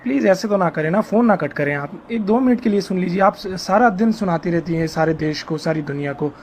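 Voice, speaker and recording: A man's voice: high voice, a little fast, a little mellow. A man presses his point on a phone call. He sounds insistent and reproachful, often pleading to be heard, and there is faint noise on the recorded line. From India. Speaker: caller. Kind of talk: confrontational phone conversation.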